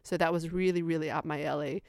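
A woman's voice: deep voice, steady tone, quick pace, a little mellow, dry recording. An Australian woman co-hosting a podcast, reviewing a film in casual chat and eagerly running through lists of details. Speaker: podcast co-host.

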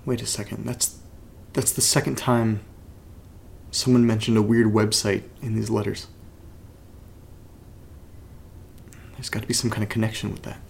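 A man playing an uneasy young man who reads strange letters aloud in a horror audio drama, halting and brooding. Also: little pitch movement, gravelly. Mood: distracted, puzzled. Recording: faint low rumbling noise.

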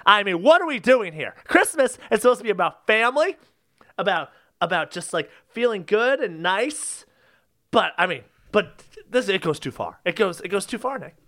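A man's voice: high voice, very animated, normal speed, bright, forceful tone. A man co-hosting a podcast, ranting casually and comedically, exasperated and repeating himself for emphasis. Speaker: podcast co-host.

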